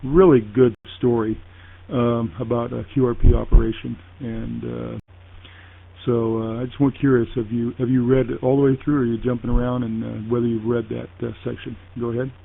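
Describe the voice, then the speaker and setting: A man in a casual two-way radio chat, speaking haltingly and deliberately with frequent pauses. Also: mid-range voice, level tone, creaky. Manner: halting, deliberate, pausing often. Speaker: ham radio operator in a contact. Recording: tinny phone-like internet radio link.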